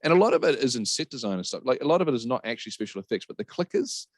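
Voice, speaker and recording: A man talks casually and with emphasis as a podcast panellist, swearing freely. His pitch is average for a man. The recording is dry and free of room sound. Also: big pitch swings, rapid-fire, dry recording. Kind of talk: casual opinionated chat.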